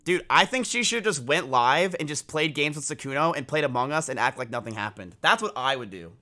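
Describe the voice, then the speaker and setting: Young male streamer, New Jersey accent, deep and forceful voice, blunt joking delivery. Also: expressive, brisk. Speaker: streamer reacting to a video. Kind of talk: casual live reaction commentary.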